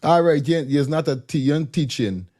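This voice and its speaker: A man's voice: a little high, animated, moderate pace, dark tone. A man with a Guyanese Creole accent, co-hosting a casual chat, talking loosely with stammering restarts. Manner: loose, rambling, stammering restarts, laughs often. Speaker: co-host.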